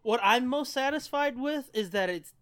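A man's voice: very high-pitched, animated, moderate pace, a bit bright, no room sound, clean studio sound. A man co-hosting a podcast in casual banter, joking and crude with plenty of swearing. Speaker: podcast co-host.

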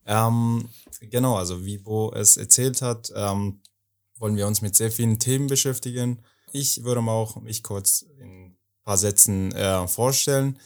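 Slow, hesitant young man from Afghanistan. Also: fairly low pitch, a little mellow. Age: young adult. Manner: hesitant, many fillers, searching for words. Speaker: podcast co-host. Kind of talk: casual podcast talk.

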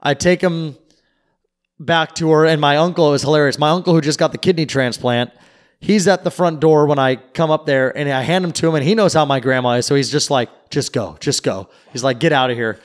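A man's voice: fairly high pitch, brisk. A man casually telling a long, rambling story on a podcast and swearing freely. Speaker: podcast co-host. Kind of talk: casual chat.

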